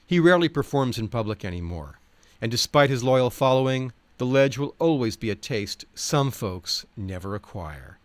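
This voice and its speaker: A man delivers scripted narration with a wry storyteller's touch. His mid-range voice moves around a great deal in pitch and is recorded very dry, with no room sound. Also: sing-song, moderate pace, dry recording. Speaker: audiobook reader. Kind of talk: scripted nonfiction narration with acted quotes.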